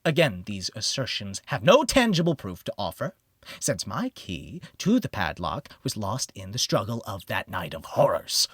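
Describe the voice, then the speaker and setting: A man narrating a gothic horror audiobook in the first person, with a theatrical, solemn, deliberate delivery. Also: fairly low pitch, sing-song, a bit bright, breathy edge, no echo, clean studio sound. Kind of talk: dramatic scripted narration of a gothic horror story.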